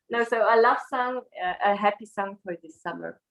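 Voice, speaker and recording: A woman from Brussels with a Belgian French accent, a native French speaker talking casually as an interview guest in halting English, searching for words. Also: mid-range voice, gravelly, slight background noise. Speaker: interview guest, singer and teacher.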